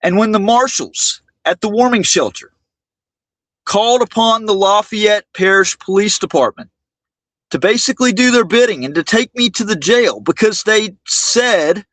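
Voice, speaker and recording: A man hosting a livestream monologue, speaking indignantly and haltingly, often repeating his words. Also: high-pitched, a bit bright, no room sound. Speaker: livestream host.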